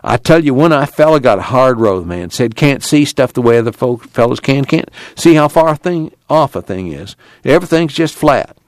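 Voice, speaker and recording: A man's voice: medium pitch, lively intonation, moderate pace, hardly any pauses, dry recording, voice pushed up, natural voice. A man voicing a brash, impatient young working man with folksy, blunt, profane rural speech in an audiobook. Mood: impatient scolding. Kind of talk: acted audiobook dialogue.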